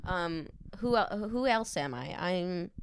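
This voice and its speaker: A young woman in college, a guest on a podcast, telling stories in a casual chat, bubbly and quick to giggle. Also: on the low side, expressive, clear, resonant tone. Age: young adult. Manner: giggly, bubbly storytelling with frequent laughs.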